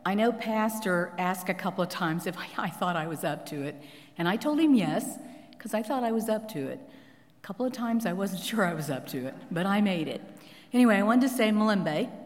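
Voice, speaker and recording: A woman giving a chatty, self-deprecating report on a mission trip to her church congregation. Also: fairly low pitch. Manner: chatty, self-deprecating, laughs between thoughts. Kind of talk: informal testimony to a congregation. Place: church sanctuary. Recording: long echoey hall reverb.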